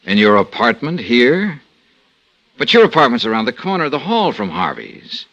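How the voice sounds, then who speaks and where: A man's voice: medium pitch, huge pitch swings, moderate pace, fairly bright tone, dull audio, heavily acted. A man in a 1950s radio drama playing a tough insurance investigator who questions a suspect with cool, dry persistence. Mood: pressing. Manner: cool, pressing interrogation with wry asides. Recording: old radio transcription.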